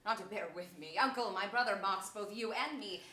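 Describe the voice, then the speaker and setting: A woman's voice: medium pitch, a little fast, bright, forceful tone, close to an everyday voice. A woman playing a small, cheeky boy prince in a theatrical Shakespearean stage style. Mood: cheeky, teasing. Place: theater stage before an audience. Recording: echoey distant stage, faint noise.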